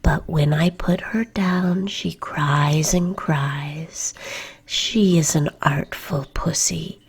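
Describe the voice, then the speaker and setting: A kind, gentle mother, whispered by a woman reading a bedtime story, cooing over her newborn in a slow, hushed storybook voice. The whisper is low and very breathy. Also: steady tone, full character voice. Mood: fond. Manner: soft unvoiced whisper.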